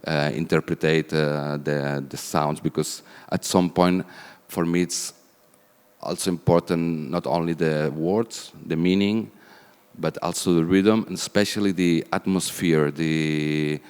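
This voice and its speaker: Very deep, smooth-voiced man, hesitant. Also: steady tone, measured pace, darkish tone. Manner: hesitant, many fillers. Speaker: composer. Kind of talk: panel talk. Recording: reverberant hall.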